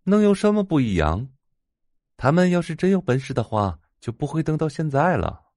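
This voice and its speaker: An actor playing a smug, dismissive IT department chief in an audio drama. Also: male voice, on the high side, very animated, moderate pace, darkish tone, no room sound, clean studio sound. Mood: scornful.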